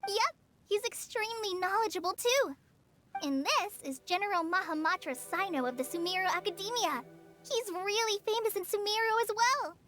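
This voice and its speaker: A woman's voice: very high voice, expressive, normal speed, fairly bright tone, soft background music. A woman voicing a shy, polite teenage girl in an anime game, earnest and a little hesitant. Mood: polite, proud.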